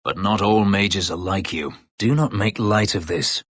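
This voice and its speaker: Low, husky brooding elf warrior. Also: male voice, measured pace, a bit bright, no echo, clean studio sound. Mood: sombre, earnest. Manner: terse, grave, sardonic. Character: brooding, bitter male elf warrior, escaped slave. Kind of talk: fantasy video game voice acting.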